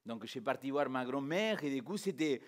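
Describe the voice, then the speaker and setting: A man's voice: mid-range voice, fairly quick. A man from Argentina, a native Spanish speaker, preaching as a pastor in an informal, interactive way, putting questions directly to his listeners. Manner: animated rhetorical questions, addressing listeners directly. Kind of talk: informal interactive sermon to a congregation.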